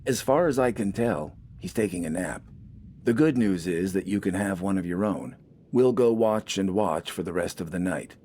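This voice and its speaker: A man's voice: sits low, lively intonation, normal speed, darkish tone, a little hiss or hum. A man voicing a mild, dutiful young militiaman with a friendly, diffident manner. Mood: reassuring.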